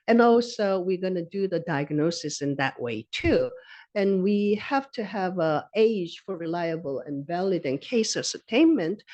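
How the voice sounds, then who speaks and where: Korean-accented woman giving a medical lecture. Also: fairly low pitch. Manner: explanatory, steady, plain-spoken with occasional self-corrections. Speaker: physician researcher presenting at grand rounds. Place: lecture hall.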